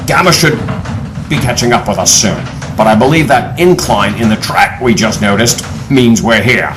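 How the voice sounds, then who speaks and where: A man's voice: mid-range voice, normal speed, music in the background, close to an everyday voice. A man playing a commanding, shrewd leader of an adventure team in an audio drama, authoritative and dramatic. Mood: assured.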